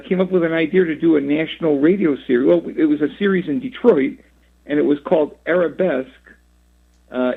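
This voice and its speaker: Gravelly husky guy in his 60s on a tinny phone line, lots of uhs and restarts. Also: on the high side. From Rhode Island, USA. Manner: halting, many fillers and restarts. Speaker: guest. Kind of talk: radio interview, recounting his career. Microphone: phone call.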